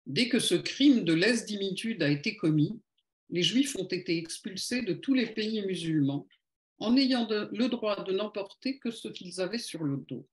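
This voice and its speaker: A woman's voice: mid-range voice, expressive, normal speed. A woman giving a polemical lecture at an evening meeting, with sardonic, ironic asides.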